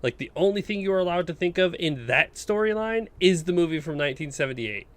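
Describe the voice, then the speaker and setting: A man's voice: on the high side, animated, quick pace. A man hosting a podcast in casual, opinionated chat, making his points bluntly and with emphasis. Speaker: podcast host.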